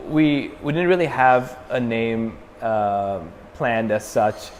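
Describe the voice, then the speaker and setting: A man's voice: mid-range voice, slow, long reverb tail, slight background noise. A young man from Sri Lanka, a metal band frontman, chatting casually as an interview guest with lots of fillers and restarts. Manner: relaxed, many fillers and restarts.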